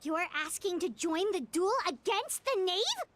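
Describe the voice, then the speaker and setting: A woman voicing a tiny, excitable girl companion in a video game, asking anxious, rushed questions. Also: extremely high pitch, no echo, heavily acted. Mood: worried.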